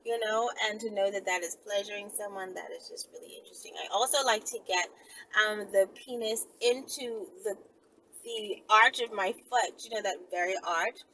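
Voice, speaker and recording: A woman's voice: mid-range voice, moderate pace, sharp tone. A woman vlogger chatting casually to her viewers from inside a car, informal and digressive as she thinks aloud. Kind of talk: casual rambling chat to viewers. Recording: noisy car interior, clear vehicle noise.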